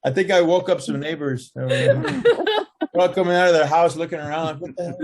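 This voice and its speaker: A man co-hosting a podcast over a video call, chatting casually with a loud voice and frequent laughs. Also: a little high, fairly quick. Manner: lively, laughs a lot, loud voice. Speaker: podcast co-host. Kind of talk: casual chat.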